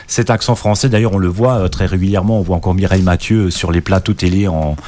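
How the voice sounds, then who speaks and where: A middle-aged man with a noticeable Alsatian accent and a fairly low voice. He is a guest singer on a radio interview, often restarting his sentences as he answers. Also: steady tone, fast talker, a little mellow. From Alsace. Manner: hesitant, many restarts.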